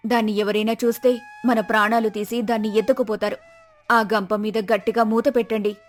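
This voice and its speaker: A woman's voice: medium pitch, level tone, brisk, close to an everyday voice. A woman playing a fearful, suspicious village fisherman's wife with a fretful, scolding way of talking in a moral story. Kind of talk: acted moral story dialogue.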